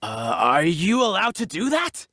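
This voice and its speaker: A man playing an excitable, indignant bandit chief in a fantasy audio drama table read, shrill and frantic. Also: measured pace, no echo, clean studio sound, exaggerated voice. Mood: frantic, defensive. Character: excitable, indignant bandit chief, more survivor than killer.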